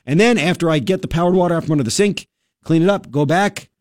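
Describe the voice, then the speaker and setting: A male radio host telling a story in casual talk-radio style, animated and full of quick asides. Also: on the high side, no echo, studio quality.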